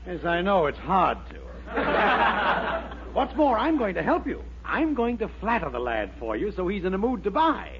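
A man playing a wily, flattering storekeeper uncle with gushing, drawn-out delivery in a 1940s radio sitcom. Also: high voice. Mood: scheming, amused. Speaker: comic actor. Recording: muffled, noisy 1940s radio recording.

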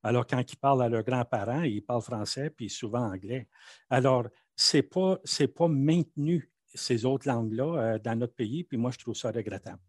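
A man's voice: mid-range voice, normal speed, dry recording, clean studio sound. A man from Manitoba with a Canadian French accent, answering interview questions in a frank, conversational, emphatic way.

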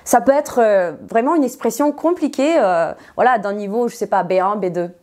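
Mellow-voiced teacher, woman. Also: a little high, normal speed. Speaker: non-native French teacher, interview guest. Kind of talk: conversational explanation in an interview.

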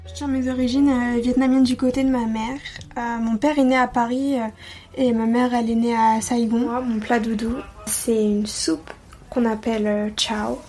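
A woman guest on a podcast giving a casual personal account, with hesitant pauses. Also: a little high, flat delivery, dark tone.

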